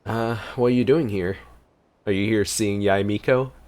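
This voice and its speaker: A man's voice: fairly low pitch, fairly even intonation, normal speed, noisy background. A man giving informal gameplay reaction commentary, musing aloud as he plays, with game music behind him. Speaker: game streamer.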